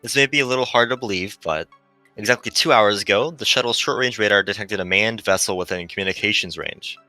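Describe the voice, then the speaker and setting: A man voicing a gentle, melancholy female shuttle AI, earnestly explaining as it fades in a visual novel. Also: fairly low pitch, fast talker, no room sound, soft background music.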